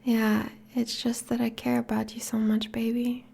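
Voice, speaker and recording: A tender, possessive vampire girlfriend, played by a woman, whispers in an intimate ASMR roleplay. Her voice is fairly high, breathy and creaky at the edges. She coaxes and teases slowly and softly. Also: completely flat delivery, full character voice. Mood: tender, earnest. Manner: slow, soft, coaxing.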